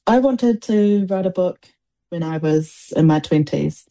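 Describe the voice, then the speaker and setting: A woman in her forties, born in Samoa, whose first language is Samoan. She has a low, mellow voice and answers in a reflective, halting way, often restarting her sentences. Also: expressive. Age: 40s. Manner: thoughtful, halting, many restarts and fillers. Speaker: poet, guest.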